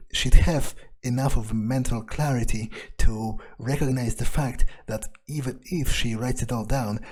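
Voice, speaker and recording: A man plays through a game in character as a cynical, foul-mouthed vampire. He muses aloud slowly and hesitantly, with dry sarcasm. His voice is mellow and relaxed, over faint music. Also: mid-range voice, slightly rough. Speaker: let's player speaking in character. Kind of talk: in-character gaming commentary, thinking aloud.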